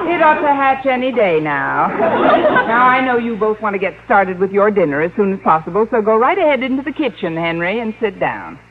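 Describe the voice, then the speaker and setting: A woman's voice: medium pitch, big pitch swings, brisk, crowd noise, natural voice. An actress playing a wisecracking woman with dry, sarcastic delivery in an old-time radio comedy. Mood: brisk, wry. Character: wisecracking, sardonic adult woman, quick with asides. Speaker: sitcom lead actress. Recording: tinny, noisy old radio broadcast with audience.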